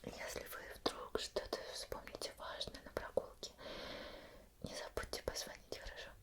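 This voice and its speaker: ASMR roleplay, woman as female investigator: all in whisper, soft-spoken, formal, patient, measured questioning. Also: close to an everyday voice. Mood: gentle, grateful.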